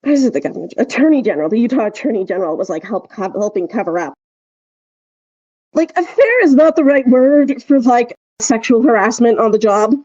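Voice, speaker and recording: A woman co-hosting a podcast in casual chat, telling things in a rambling, halting way. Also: a little high, expressive, warm tone, breathy edge, no echo, highs cut off. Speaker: podcast co-host.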